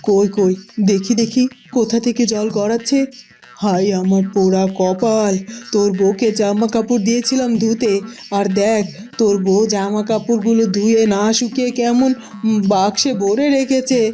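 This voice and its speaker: A woman's voice: mid-range voice, steady tone, normal speed, dark tone, faint music behind, lightly acted. A woman voicing a dramatic, middle-aged village mother-in-law in a cartoon. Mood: exasperated. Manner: scolding, dramatic lamenting. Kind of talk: Bengali cartoon voice acting.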